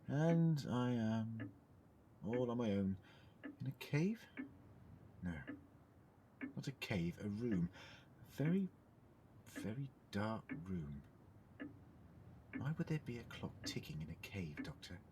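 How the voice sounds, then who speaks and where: Eccentric, indignant alien time traveller , male actor, audio drama. Theatrical, self-questioning, very lively pitch, faint rumbling noise. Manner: theatrical, self-questioning, swinging from muttering to declaiming.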